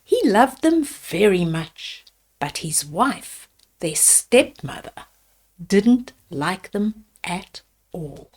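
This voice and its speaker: Slow-paced older woman reading a fairy tale. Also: mid-range voice, big pitch swings, darkish tone, breathy edge. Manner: deliberate, explains pictures to young listeners. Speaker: storyteller reading children's picture books. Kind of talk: read-aloud bedtime fairy tale with asides to children.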